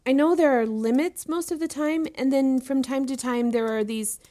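Young female student, played by an actress, higher-pitched, earnest, inquisitive, deferential, audio drama dialogue. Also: normal speed, dark tone, close to an everyday voice. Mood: hesitant, confiding. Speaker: voice actor in a fiction podcast.

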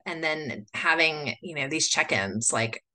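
A woman's voice: low-pitched, measured pace, bright, forceful tone, low-quality recording. A woman from the United States hosting a podcast, talking casually and chattily in a rambling way with lots of filler words. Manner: chatty, rambling, full of "like" and "you know" fillers. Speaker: podcast host. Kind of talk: casual solo podcast talk.

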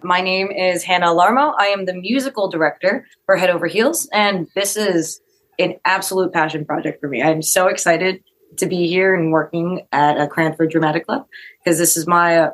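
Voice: mid-range voice, fairly even intonation, fairly quick, a bit bright. A music director speaking earnestly and with feeling as an interview guest about a theater production. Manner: earnest, heartfelt.

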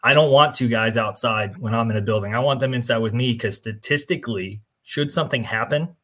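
A man's voice: mid-range voice, brisk, a bit bright. A man who is a firefighter and instructor speaks earnestly and analytically in a casual chat.

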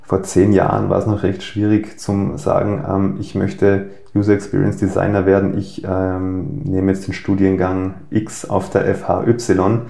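A man, interviewing a guest in a relaxed, casual way. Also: sits low, a little slow, gravelly, few pauses. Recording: echoey room.

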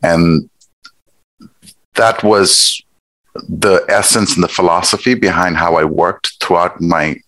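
Middle-aged man from Nepal, very low voice, dry sound; measured, thoughtful interview guest. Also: fairly even intonation, a bit bright, no room sound, rough recording. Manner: thoughtful, measured, with pauses and fillers. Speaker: guest, executive director. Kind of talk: conversational interview.

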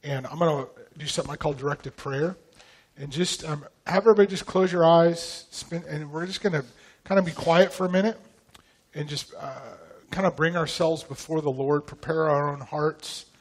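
A man from Idaho leading prayer and teaching at church, slightly raspy from a recent vocal cord infection, talking in a relaxed, loose way with many ums. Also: a little high, moderate pace. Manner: relaxed, conversational, frequent ums and throat clearing. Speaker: pastor.

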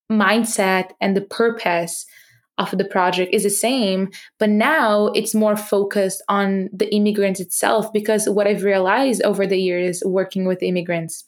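A young adult woman with a non-native accent, a podcast host, speaking earnestly and passionately about her project, with frequent fillers and restarts. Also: mid-range voice. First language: not English.

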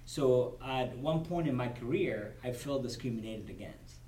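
A man's voice: mid-range voice, animated, moderate pace, warm tone, soft background music. A man from Cuba with a Cuban Spanish accent, Spanish as his first language, speaking earnestly as a guest on a podcast at a convention and often repeating words as he gathers his thoughts. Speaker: guest, corporate supplier diversity director. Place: convention venue. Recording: roomy, distant mic with faint noise.

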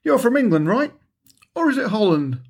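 A man's voice: extremely high pitch, sing-song, moderate pace, slightly rough, pitched above the performer's own voice, full character voice. A man voicing an argumentative, indignant amateur football captain with an incredulous, protesting manner in an audiobook story. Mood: friendly curious.

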